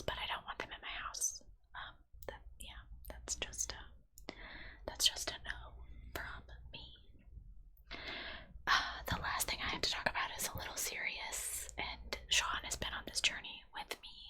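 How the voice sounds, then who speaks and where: A woman who works in healthcare, chatting in a soft, rambling whisper full of fillers. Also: poor audio. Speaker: healthcare worker. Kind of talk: whispered ASMR chat.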